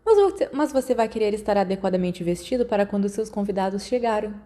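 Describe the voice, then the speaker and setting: Female reader as teenage wizard boy, Brazilian accent, breezy, playful, theatrical, mellow tone. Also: medium pitch, lively intonation, fast talker, natural voice.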